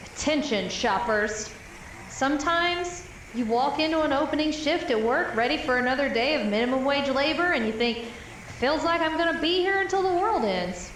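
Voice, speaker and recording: A sardonic woman, the last employee of a store, in an audio drama. Her high voice delivers mock announcements with bitter sarcasm. It comes over an intercom, very echoey and distant, with faint noise. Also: a bit bright. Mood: mock cheery. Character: jaded young female superstore employee, lone apocalypse survivor, sardonic.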